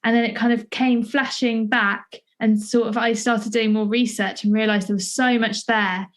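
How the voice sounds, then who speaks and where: A woman's voice: on the high side, fairly even intonation, moderate pace. A woman in her twenties with a southern English accent, chatting casually as an interviewer, feeling her way through her sentences. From Essex, England. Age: 20s. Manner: hesitant, searching phrasing with many hedges. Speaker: podcast interviewer and playwright.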